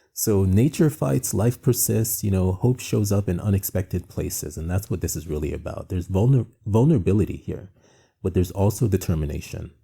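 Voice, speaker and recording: A man's voice: deep voice, vocal fry, mellow, slightly rough. A man from Jamaica hosting an art presentation, talking in a conversational, reflective and earnest way with frequent asides. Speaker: art show host and founder.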